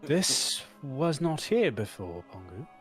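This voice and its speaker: A man voicing a female owl spirit companion who speaks in a measured, dry way. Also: mid-range voice, big pitch swings, soft background music. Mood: calm.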